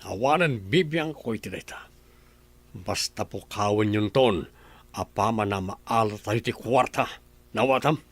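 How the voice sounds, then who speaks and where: A man's voice: lowish voice, measured pace, no echo. A man voicing a greedy uncle who leads a kidnapping, giving orders in a gloating, sinister way in a radio drama. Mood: scheming.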